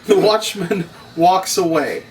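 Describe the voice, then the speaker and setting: A man's voice: high-pitched, takes it slowly. A man narrating stage directions as he reads from a script at a table read. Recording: distant mic, noisy room.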